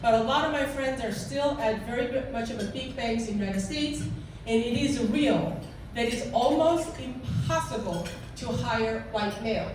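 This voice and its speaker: A woman's voice: on the high side, noisy background. Korean-accented woman speaking in echoey hall. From South Korea. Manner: earnest, halting speech with restarts. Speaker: guest speaker. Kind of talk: speech to a club audience. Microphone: handheld mic. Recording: echoey room, recorded from far off.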